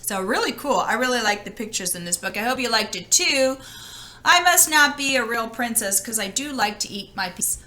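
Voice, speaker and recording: Bright, high-voiced woman reading a storybook. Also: expressive, moderate pace. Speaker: storybook reader. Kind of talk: expressive children's read-aloud, with casual asides.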